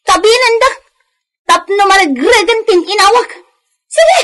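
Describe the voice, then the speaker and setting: Rebellious teen daughter, extremely high voice, petulant and stubborn, radio drama. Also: female voice, animated, measured pace, a bit bright, full character voice. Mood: bitter outburst. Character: rebellious, heartbroken teenage daughter, stubborn and defiant.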